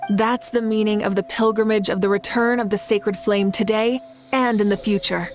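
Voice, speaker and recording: An actress playing a confident, composed heroic leader with a playful side in a video game, speaking in an assured, wry manner. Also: female voice, medium pitch, steady tone, moderate pace, close to an everyday voice. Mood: earnest, assured. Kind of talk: video game character dialogue. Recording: music behind the voice.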